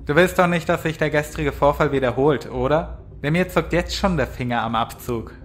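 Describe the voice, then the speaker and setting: Higher-voiced, threatening henchman, played by a man. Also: normal speed, lightly acted. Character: threatening male henchman, smug, trigger-happy. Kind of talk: audio drama acting.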